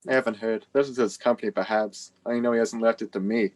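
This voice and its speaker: A man's voice: fairly low pitch, steady tone, quick pace, natural voice. A man playing an indifferent, dryly mocking businessman in a radio play, offhand in manner.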